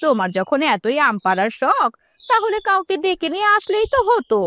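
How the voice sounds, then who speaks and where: Woman as a high-voiced, needling village busybody. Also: sing-song, brisk, a bit bright, slight background noise, full character voice. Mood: taunting. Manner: taunting, gossipy. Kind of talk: Bengali fairy-tale cartoon dialogue.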